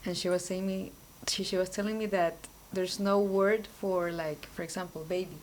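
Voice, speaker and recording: A woman's voice: on the low side, fairly even intonation, normal speed, a little hiss or hum, rough recording. Woman musing, full of "like". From Colombia. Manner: thoughtful, searching for words with many "like" fillers. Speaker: podcast guest, new team member. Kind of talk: casual group conversation.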